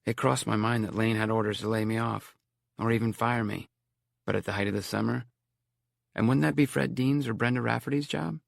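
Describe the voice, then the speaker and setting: A man narrating a novel as an audiobook in a measured, wry storytelling manner. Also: medium pitch, fairly even intonation, creaky, no room sound, clean studio sound.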